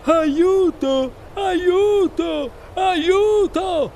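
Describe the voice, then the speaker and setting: A woman's voice: a little high, measured pace, dark tone, slight background noise, voice pushed up, lightly acted. A woman voicing a gluttonous, heedless fat young boy in a storybook, with a greedy, mumbling manner. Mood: panicked.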